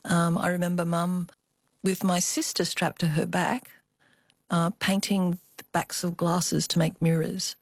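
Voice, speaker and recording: Older woman, Australian accent, lowish pitch, reflective storytelling, expressive, dry recording. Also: measured pace, no room sound. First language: Cantonese. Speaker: interview guest.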